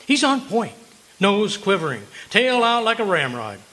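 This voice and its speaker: A man acts a blustery American husband from old-time radio comedy. His voice is high and bright, swooping through folksy wisecracks and tall tales. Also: big pitch swings, a little slow, heavily acted. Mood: boastful.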